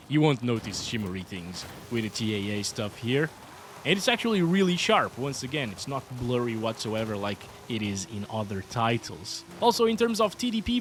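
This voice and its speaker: A man's voice: medium pitch, a little hiss or hum. A man with a Portuguese accent giving chatty, upbeat commentary over gameplay as a hardware reviewer. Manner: chatty, upbeat, often says "guys".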